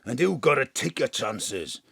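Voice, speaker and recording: A cynical Welsh crook and family man in an audio play. His husky voice swings freely up and down as he talks in a blustering, streetwise patter. The sound is close and dry. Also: medium pitch, very animated, a little slow, bright, forceful tone, no echo, heavily acted. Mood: streetwise, lecturing. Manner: colloquial, blustering, streetwise lecturing. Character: roguish thief father, cynical, selfish, proud of stealing. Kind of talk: audio drama acting.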